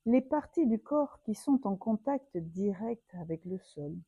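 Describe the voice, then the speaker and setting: Female yoga teacher for guided relaxation: very dark, soft-edged tone, dry close sound, soothing and unhurried. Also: medium pitch, animated, husky, no room sound, dull audio. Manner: soothing, unhurried, with long pauses.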